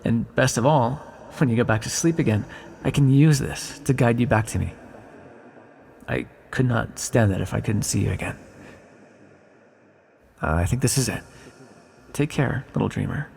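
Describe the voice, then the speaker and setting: Gravelly, echoing ancient villain acted by a man. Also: mid-range voice, darkish tone. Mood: urgent, tender. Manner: intimate, teasing, musing delivery with dramatic pauses. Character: ancient imprisoned male villain, sly, lonely, tenderly flirtatious. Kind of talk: ASMR roleplay acting. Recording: long reverberant echo.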